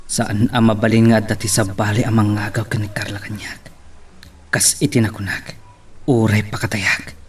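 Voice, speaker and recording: A man's voice: on the low side, little pitch movement, normal speed, lightly acted. A man with a Filipino Ilocano accent acting an evasive, brooding husband in a radio-style romance drama, curt and irritable. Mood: brooding, possessive. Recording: distant mic with background noise.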